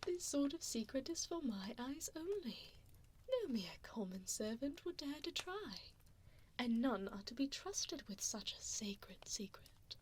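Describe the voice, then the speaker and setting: Casting: cruel aristocratic female vampire played by a woman, higher-pitched breathy whisper, unhurried condescension, close-miked ASMR roleplay. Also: big pitch swings, slight background noise. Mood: secretive, disdainful. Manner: condescending, slow, formal diction. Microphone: close binaural microphone.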